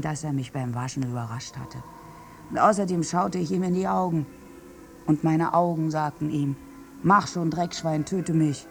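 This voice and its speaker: Bitter, wounded war survivor. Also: female voice, deep voice, gravelly, a little mellow. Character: wounded, bitter village woman among soldiers, survivor of a massacre. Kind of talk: theatrical radio drama monologue.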